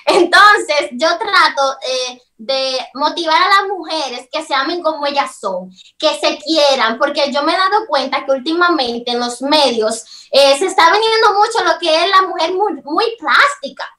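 Casting: very high, bright-toned Dominican woman comedian, playful, heard from far off a call mic. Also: unhurried, few pauses. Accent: Dominican Cibao Spanish. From Dominican Republic, Cibao region. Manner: lively, joking, laughs at herself. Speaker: comedian, interview guest. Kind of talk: casual interview over video call. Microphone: video call.

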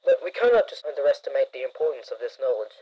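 Grave researcher for audio drama, played by a man, earnest and formal, mid-pitched, dull radio-like sound. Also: sing-song, fast, heavy background noise, lightly acted. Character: grave researcher urging caution over secret discovery. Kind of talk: scripted radio-drama dialogue.

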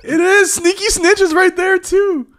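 A young man hosting a casual gaming stream from his home room on a studio mic, excitable and foul-mouthed, breaking into loud laughter. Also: extremely high pitch, measured pace, music in the background. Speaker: streamer host. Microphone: studio mic on arm.

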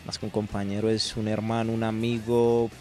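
A footballer speaking affectionately and haltingly about a teammate in front of a press backdrop. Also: male voice, on the low side, monotone, a little mellow, soft background music. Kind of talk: interview answers.

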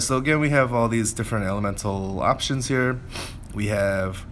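Man, lowish voice, casual gaming commentary, explanatory, thinking out loud, noisy recording. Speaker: gameplay commentator.

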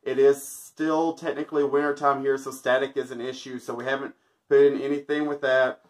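A man's voice: mid-range voice, level tone, a little fast. Guy in his 30s reviewing stuff on camera, jokey, laughs a lot. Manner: joking, chuckles often. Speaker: vlogger testing a product. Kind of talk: casual product review chat. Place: small indoor room, backdrop with stars.

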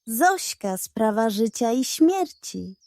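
A greedy little schemer of a male pug, acted out by a woman for a children's storybook. The voice is high and soft-edged, full of dramatic indignation and hot temper, in a very dry recording. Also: sing-song, takes it slowly, no room sound, exaggerated voice. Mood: dramatic alarm.

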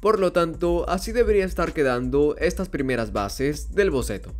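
A man narrating a step-by-step drawing tutorial in a calm, instructional voice-over. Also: fairly high pitch, moderate pace, soft background music. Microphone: voice-over over hands-on drawing footage.

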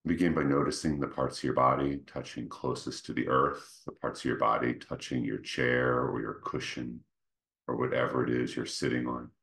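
A man leading a guided meditation, speaking gently and without hurry, in short phrases with pauses between them. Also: steady tone. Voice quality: very deep, creaky. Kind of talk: guided meditation, slow soothing instruction.

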